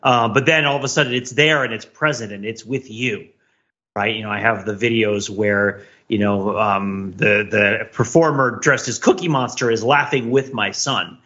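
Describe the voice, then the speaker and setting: Man, middle-aged, sharp bright voice, analytical, wordy, deliberate, conversational, slightly lo-fi audio. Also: on the low side. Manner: wordy, intellectual, deliberate phrasing. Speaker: podcast co-host.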